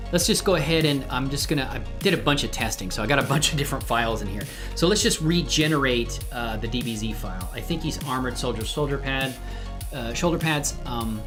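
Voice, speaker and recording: Very deep-voiced woman streaming over music. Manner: informal, thinking aloud while demonstrating. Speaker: host of a live-streamed software tutorial. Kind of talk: casual tutorial livestream chat. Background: music playing behind the voice.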